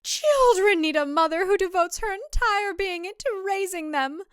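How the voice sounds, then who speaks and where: Woman acting as older female cult zealot, high-pitched, fervent, pleading, drawn-out words, audio drama. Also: lively intonation, dry recording, clean studio sound. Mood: fervent conviction. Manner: fervent, drawn-out, plaintive delivery.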